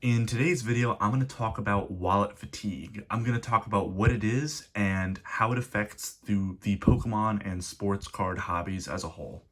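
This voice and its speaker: A man's voice: on the low side, moderate pace, few pauses, a little hiss or hum. A man coming down with a cold, hosting his own channel and explaining in a casual, rambling way with frequent restarts. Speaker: host of a card collecting channel. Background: background guitar music.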